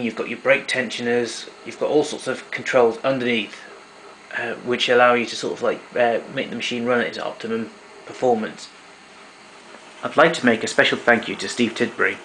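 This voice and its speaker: Male hobbyist demonstrator, crisp forward tone, plain technical explanations, mid-range pitch, some background noise on an amateur camera recording. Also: fairly bright tone, poor audio. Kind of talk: explanatory equipment walkthrough. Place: indoor room at home.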